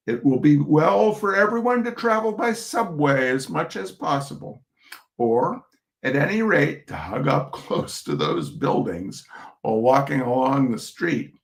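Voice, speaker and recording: A man reading comic essays aloud to listeners in a theatrical, expressive way, breaking off for chatty, wry asides. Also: fairly high pitch, creaky. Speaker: reader of humorous essays.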